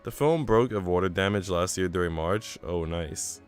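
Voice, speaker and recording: Low, clear-voiced man streaming over music. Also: moderate pace. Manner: chatty asides between narrated passages. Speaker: game streamer. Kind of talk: visual novel read-aloud with casual stream chat.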